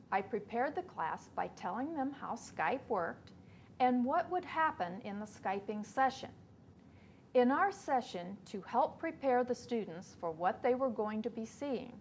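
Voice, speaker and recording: A woman in her fifties presenting an instructional webcast for teachers, reading a measured script in a small studio. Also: a little high, a little hiss or hum. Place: small studio before a backdrop.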